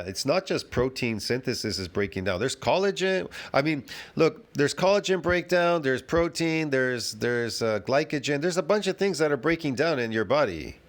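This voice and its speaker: A man giving a ranting fitness commentary, emphatic and repetitive, full of restarts and rhetorical questions. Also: medium pitch.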